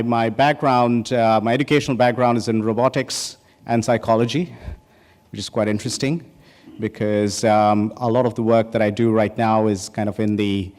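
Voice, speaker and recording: A man's voice: medium pitch, a little mellow. A man from India in his forties giving an opening talk at a conference, casual and joking, with many ums and restarts. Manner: conversational, many ums and restarts, jokes with the audience. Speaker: keynote speaker. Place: large conference hall stage. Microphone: podium microphone. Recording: reverberant hall.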